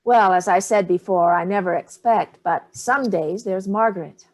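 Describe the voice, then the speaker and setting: A woman's voice: mid-range voice, normal speed, close to an everyday voice. Actress as a cutting, hard-drinking older mother, dry and sardonic. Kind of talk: staged play reading.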